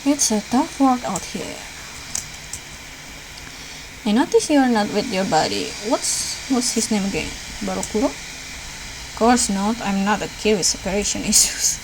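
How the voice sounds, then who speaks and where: A woman's voice: mid-range voice, measured pace, pauses often, noisy background. A woman reading visual novel dialogue aloud as a let's player, lightly acting out the lines. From Indonesia. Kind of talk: read-aloud game dialogue, acted lightly.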